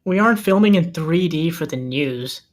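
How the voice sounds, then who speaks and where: A man's voice: fairly high pitch, animated, normal speed, natural voice. A man playing an exasperated, practical amateur cameraman in a comedic table read.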